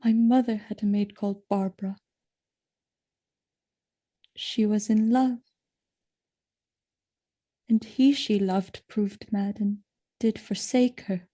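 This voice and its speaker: A woman playing a loyal, innocent, distressed noble wife in a Shakespearean audio drama, speaking in an earnest, pleading, measured way. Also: medium pitch, fairly even intonation, mellow. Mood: sad resignation.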